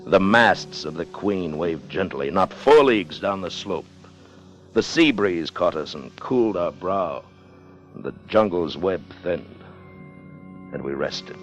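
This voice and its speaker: An actor in a 1950s radio drama playing a hard, greedy ship's officer, commanding, with old-fashioned seafaring speech. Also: male voice, low-pitched, expressive, a little slow. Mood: brooding, scornful. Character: hard, scheming, greedy sailing-ship officer leading a treasure hunt. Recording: 1950 radio broadcast with music underneath.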